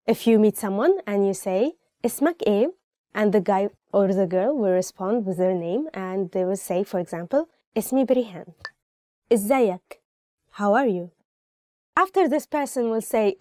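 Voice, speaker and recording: A woman's voice: mid-range voice, warm tone, no room sound, studio quality. A woman from Egypt with an Egyptian accent, a native Arabic speaker, hosting a language lesson in an informal, explanatory way. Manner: conversational, explanatory, giving examples.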